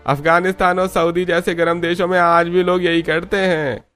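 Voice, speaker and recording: Man as a high-voiced helpful vegetable seller. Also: flat delivery, moderate pace, fairly bright tone, soft background music. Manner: reassuring, explanatory.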